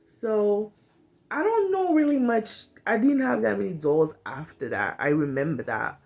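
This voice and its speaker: An animated woman in her thirties from Cameroon talks straight to her phone camera in a small bedroom. She is frank and blunt, swears freely, and now and then acts out short quoted lines in other voices. The sound is close and casual, like a phone held at arm's length. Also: medium pitch, unhurried, vocal fry, lo-fi sound. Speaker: vlogger. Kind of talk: casual talk to camera on trauma healing.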